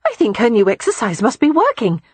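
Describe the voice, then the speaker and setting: A lively young gentlewoman chatters brightly and earnestly, her voice pitched high and leaping about, as a woman reader puts her on. The tone is a little husky and recorded close and dry. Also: brisk, no echo, exaggerated voice. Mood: eager. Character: eager, bright young gentlewoman, frank and warm.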